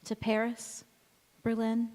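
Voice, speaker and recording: A woman acts a mysterious young music teacher in a radio drama. She is guarded, with a slightly high voice. Long hall reverb surrounds her. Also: completely flat delivery, slow, a little mellow. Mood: resigned. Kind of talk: radio drama acting before an audience.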